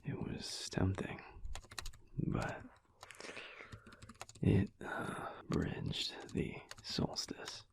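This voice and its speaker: A man playing a laid-back, teasing alpha werewolf husband in an intimate audio roleplay, talking softly and slowly with dry humour in a low, gravelly voice. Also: a little hiss or hum. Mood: relaxed, reflective. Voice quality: low, husky, gravelly whispery voice. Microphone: close microphone.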